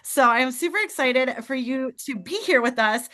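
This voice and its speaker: A woman's voice: high voice, normal speed, bright, forceful tone. A woman hosting a team call, upbeat and enthusiastic, with a motivational manner. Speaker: host.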